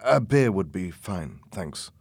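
Guy doing a jittery young pilot guy, eager, stumbles a bit, lively. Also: low voice, takes it slowly, vocal fry, dry recording, clean studio sound, full character voice. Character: nervous tall young man at a job interview.

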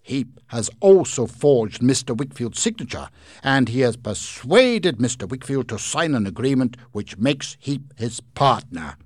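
A man voicing a proud, pompous gentleman in a storybook reading, speaking loudly, formally and declamatorily. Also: mid-range voice, very animated, measured pace, dry recording, clean studio sound, voice pushed up. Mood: declaiming. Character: proud, pompous middle-aged gentleman clerk.

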